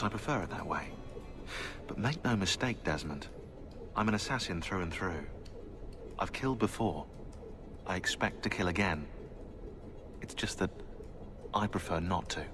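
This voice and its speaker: An actor playing a sardonic, eccentric British conspiracy researcher turned assassin in a video game, chatty, opinionated and sarcastic. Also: male voice, low voice, animated, normal speed, long pauses. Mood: matter-of-fact. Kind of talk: game cutscene dialogue.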